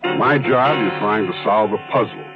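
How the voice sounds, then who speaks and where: Radio drama role: dry American police detective, male actor, deep voice, muffled vintage recording with music. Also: normal speed, close to an everyday voice. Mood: matter-of-fact. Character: methodical, world-weary male police detective. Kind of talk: 1970s radio drama acting.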